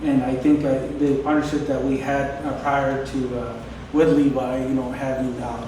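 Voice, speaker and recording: Man, council representative, halting delivery at a press conference, distant mic, noisy room. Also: mid-range voice, little pitch movement. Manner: halting, with many hesitations. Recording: echoey room, distant mic, background noise.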